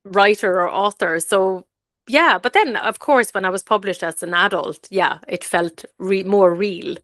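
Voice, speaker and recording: A woman from Sweden who speaks English as a second language gives casual interview answers. Her voice sits at a middle pitch and her talk is chatty, often doubling back on itself. The sound is dry, with little room in it. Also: unhurried, fairly bright tone, no room sound. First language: Swedish. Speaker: interview guest, fiction author.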